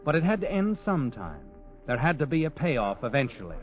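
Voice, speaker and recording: A tinny vintage radio recording with music behind a sardonic, all-knowing male narrator. His delivery is dramatic and needling, with highly animated pitch, as he narrates a crime drama. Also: brisk. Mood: knowing, ominous. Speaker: host and narrator. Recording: tinny narrow-band old radio recording, musical backing.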